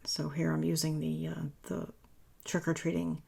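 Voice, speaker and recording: A woman narrates a craft tutorial in a loose, chatty way, often correcting herself. Her voice is raspy and worn after a long day of talking. It has a heavy gravelly fry and a husky edge. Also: deep voice, completely flat delivery, normal speed, a little mellow. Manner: rambling, self-correcting, chatty. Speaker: papercraft tutorial host. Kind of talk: casual voice-over tutorial.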